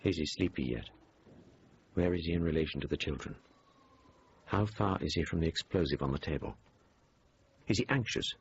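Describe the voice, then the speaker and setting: Very deep, brisk police commander. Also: male voice. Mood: urgent, probing. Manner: clipped, urgent questioning. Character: brisk, probing male police siege commander. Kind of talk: radio drama acting. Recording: dull radio sound, faint noise.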